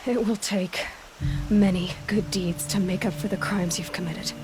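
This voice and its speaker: A resolute young hunter, played by a woman, firm and composed in delivery. Clear game music runs behind her. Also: medium pitch, steady tone, moderate pace, darkish tone, lightly acted.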